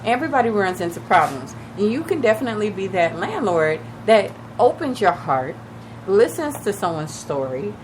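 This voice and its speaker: A woman radio host with a raspy, slightly shaky voice from recently losing it, chatting casually and advising listeners directly. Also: mid-range voice, sing-song, moderate pace, noisy background. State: recovering from lost voice, a little shaky. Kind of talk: casual radio talk on real estate. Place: radio studio.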